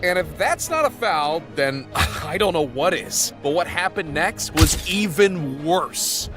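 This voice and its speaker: A high-voiced man delivers hyped, scripted sports storytelling. His pitch swings widely as he leans on key phrases for drama and drops in slangy jokes. Music plays clearly behind him. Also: lively intonation, takes it slowly. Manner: dramatic emphasis with slangy, joking asides. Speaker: narrator of a sports video essay.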